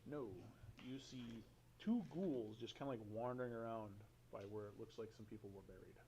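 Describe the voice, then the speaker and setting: A man runs a tabletop game at his table, his voice at an ordinary middle pitch. He describes scenes in a loose, easygoing way and laughs often. A faint hiss sits under his voice. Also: normal speed, warm tone, poor audio. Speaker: dungeon master. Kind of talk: running a tabletop game at home.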